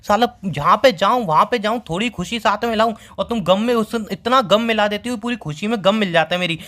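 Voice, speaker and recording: A man acting as a young boyfriend at the end of his patience, talking fast. He argues back with sarcasm and pleading, repeating his phrases in exasperation. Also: sits high, a bit bright. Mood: exasperated. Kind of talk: heated relationship argument, recorded-call skit.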